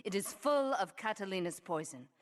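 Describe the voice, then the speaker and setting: A woman's voice: mid-range voice, expressive, moderate pace, lightly acted. An actress playing a cool, ruthless female crime boss in a video game, poised and matter-of-fact about violence. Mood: casually cruel. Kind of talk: scripted video game cutscene acting.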